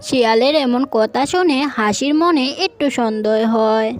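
High-voiced woman narrating a children's story. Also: moderate pace. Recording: music bed behind the voice.

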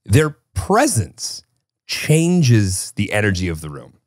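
A man's voice: lowish voice, wildly swooping pitch, slow, a little mellow, dry recording. Dry, teasing young man co-host. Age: young adult. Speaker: podcast co-host. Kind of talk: casual chat. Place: small studio set with a couch. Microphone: studio mic on a boom arm, headphones.

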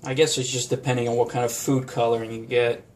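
A man's voice: mid-range voice, little pitch movement, fast talker. A man giving a casual how-to demonstration at a home bar counter, talking unhurriedly with many fillers. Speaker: vlogger.

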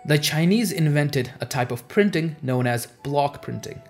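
A man's voice: fairly high pitch, animated, unhurried. A young man whose native language is Urdu gives scripted educational narration in an explanatory way, as host of a history channel. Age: young adult. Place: small home office, indoors. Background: background music.

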